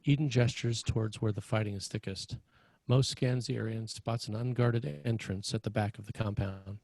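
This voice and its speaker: A man narrating scene directions for a screenplay table read, with a slightly husky voice. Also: fairly low pitch, fairly even intonation, normal speed, a little mellow. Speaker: narrator reading stage directions.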